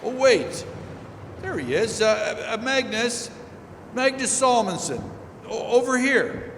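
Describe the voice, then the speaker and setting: A man's voice: extremely high pitch, very animated, takes it slowly, a bit bright, full character voice. A man playing a seasoned, practical lake steamer captain in old-time radio drama. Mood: practical, cautionary. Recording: echoey hall with background noise.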